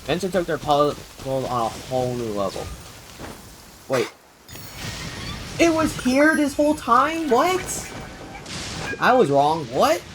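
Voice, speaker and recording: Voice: mid-range voice, huge pitch swings, slow pace, pauses often, background noise. A game streamer giving casual, rambling commentary while playing, often exclaiming in mild bewilderment. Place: indoors, live stream with game music behind the voice.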